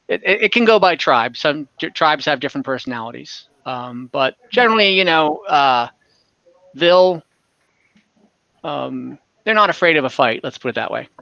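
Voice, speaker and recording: Man, game master, higher-pitched, forward bright tone, casual halting narration, fantasy tabletop game. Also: expressive, gravelly, clean tone, dry recording, highs cut off.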